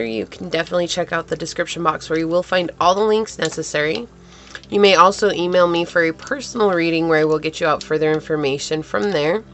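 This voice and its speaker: A woman's voice: sits low, fairly bright tone. A young woman with a scratchy voice, chatting casually and reassuringly as she gives a tarot reading. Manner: chatty, reassuring, laughs easily. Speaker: tarot reader.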